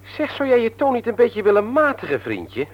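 An actor playing a stern, patronising man in authority in a radio drama, lecturing emphatically in a husky voice. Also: very high voice, wildly swooping pitch, moderate pace, highs cut off, full character voice. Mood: indignant, uneasy. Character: stern, patronising older brother and works supervisor, adult man.

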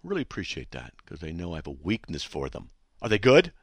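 A man hosting a call-in radio show from a studio, his voice hoarse and scratchy, chatting and joking with listeners. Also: low voice, wildly swooping pitch, fast talker, no room sound. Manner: chatty and joking, breaking off to talk to people behind the studio window. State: hoarse, voice still shot. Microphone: studio microphone.

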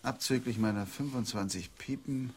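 An actor in a radio drama playing a gruff, impatient card player who is curt and commanding. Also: male voice, medium pitch, normal speed, a little hiss or hum, close to an everyday voice.

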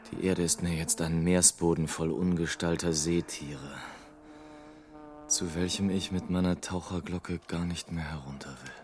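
An actor playing a sardonic, world-weary airship traveller, narrating in measured literary style in a radio play. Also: male voice, low voice, slight background noise.

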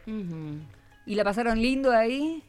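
A woman's voice: on the high side, expressive, moderate pace, darkish tone, smooth, soft background music. A woman with an Argentine accent interviewing on the radio in an informal, teacherly way, often prompting with questions. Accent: Argentine (Rioplatense). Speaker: radio host interviewing schoolchildren.

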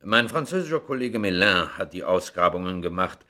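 A man's voice: deep voice, animated, a little fast, sharp tone. A male actor playing a matter-of-fact, obliging professor in a radio play, speaking precisely and in an educated way. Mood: helpful, factual.

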